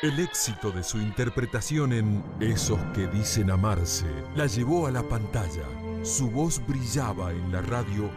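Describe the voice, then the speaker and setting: A man's voice: lowish voice, animated, unhurried. A man reading a scripted tribute narration. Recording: loud music under voice. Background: music.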